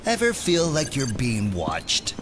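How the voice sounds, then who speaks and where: An actor playing a nervous, sarcastic, geeky American hippie hacker in an audio drama. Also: male voice, mid-range voice, huge pitch swings, takes it slowly, background noise. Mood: uneasy.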